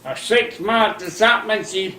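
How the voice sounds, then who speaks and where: Old guy in his 90s telling stories, kinda high voice, roomy echo. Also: crowd noise. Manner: folksy, unhurried storytelling with plain rural grammar. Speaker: interviewee. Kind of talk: rambling recollection.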